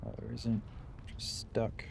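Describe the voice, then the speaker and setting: A man's voice: slow pace, in a whisper. A man doing casual live game commentary, muttering short, fragmented remarks to himself as he plays. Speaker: game streamer.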